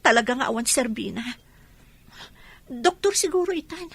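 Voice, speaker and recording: A woman's voice: high voice, animated, moderate pace, husky, no echo, heavily acted. A woman with an Ilocano accent playing a distressed, prayerful mother of a sick child in a radio drama. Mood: concerned.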